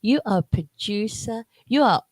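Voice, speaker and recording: A woman hosting a radio interview, chatty and given to repeating herself and quick asides. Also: mid-range voice, animated, dry recording. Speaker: radio host.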